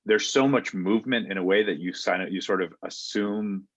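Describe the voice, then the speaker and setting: A man with a very deep voice asks his questions casually, thinking aloud as he goes. The recording is dry and close, with a dull, lo-fi tone. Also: normal speed, fairly bright tone, no echo. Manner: casual, thinking aloud with many likes and rights. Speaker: interviewer. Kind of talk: conversational interview questions.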